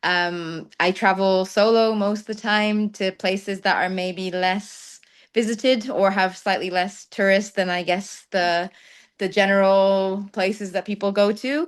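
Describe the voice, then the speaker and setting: A woman's voice: medium pitch, fairly even intonation, a little slow, smooth. Woman from the Netherlands, hesitant chat. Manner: thoughtful, with many ums and soft laughs. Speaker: guest, travel content creator. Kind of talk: conversational interview.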